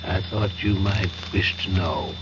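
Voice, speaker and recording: A grave, compassionate reverend of colonial days, speaks very slowly and earnestly. The old radio drama recording is muffled and full of noise. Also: male voice, low voice. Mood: grave, pleading. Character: solemn, compassionate colonial clergyman bearing grave news. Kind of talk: 1970s radio drama acting. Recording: muffled, noisy old radio broadcast with hum.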